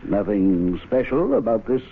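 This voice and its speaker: A pompous, urbane club president in an old radio play. His husky voice rises and falls archly in formal phrases, over a tinny broadcast. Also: on the low side, big pitch swings, heavily acted. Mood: archly probing. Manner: formal, arch, deliberate wording. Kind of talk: radio drama acting.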